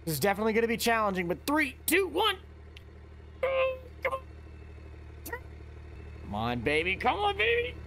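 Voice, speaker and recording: A man's voice: very high voice, moderate pace, gravelly, long pauses, a little hiss or hum. Chatty man narrating his gameplay. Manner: chatty, talks straight to viewers, excitable outbursts. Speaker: gameplay video host. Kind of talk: casual gameplay commentary.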